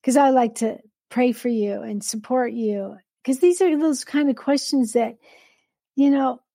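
A woman's voice: on the high side, unhurried, vocal fry, dark tone, dry recording, clean studio sound. A middle-aged woman hosting a live solo podcast, talking in a candid, rambling way with many restarts. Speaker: podcast host.

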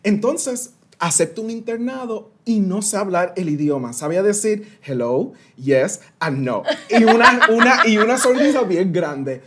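A man's voice: high voice, expressive, a little slow, hardly any pauses, fairly bright tone, slight background noise. A Puerto Rican man in his thirties, a guest consultant explaining ideas animatedly with everyday analogies and some English words mixed in. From Puerto Rico. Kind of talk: interview explanation.

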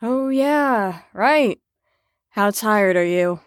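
A woman's voice: medium pitch, expressive, extremely slow, dry recording. A woman in an audio drama playing a firm carer on a patient ward, with a dry, exasperated manner. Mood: dry, teasing.

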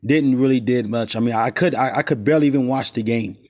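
A man hosts his sports talk show with a raspy, worn voice as he gets over a stomach bug. He talks loosely and rambles, full of fillers and restarts, at an ordinary middle pitch. He is recording on a cell phone rather than in a studio. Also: quick pace, a little mellow. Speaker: sports podcast host. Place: not in the studio.

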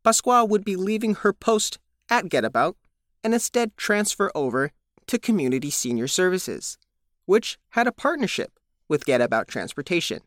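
A man's voice: high-pitched, big pitch swings, normal speed, a bit bright, dry recording, studio quality. A man narrating a scripted news feature as a reporter.

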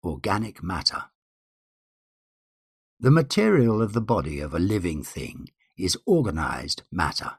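A man giving a formal scripted reading of numbered statements in a deliberate, declamatory way with measured phrasing. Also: deep voice, big pitch swings, slightly rough, no echo, clean studio sound. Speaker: guest reader.

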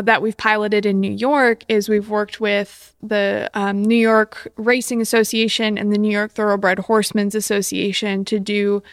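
Woman, interview guest, articulate, steady narrow pitch, dry close recording. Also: no room sound. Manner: articulate, enthusiastic, speaks in long flowing explanations. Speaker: guest, executive director. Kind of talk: conversational interview.

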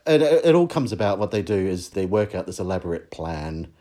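A man's voice: sits low, very animated, a little fast, dark tone. A middle-aged man with a New Zealand accent, a podcast guest chatting casually with dry, wry humour. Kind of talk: casual chat.

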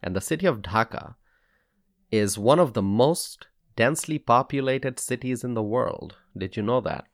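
A man with a Bangladeshi accent hosting a solo political podcast, speaking in a deliberate, emphatic way and repeating phrases for weight. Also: mid-range voice, expressive, vocal fry, no echo, studio quality.

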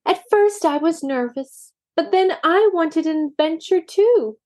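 A woman voicing a timid young girl kitten who pleads in a high, whiny voice. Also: unhurried, darkish tone. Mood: chatty.

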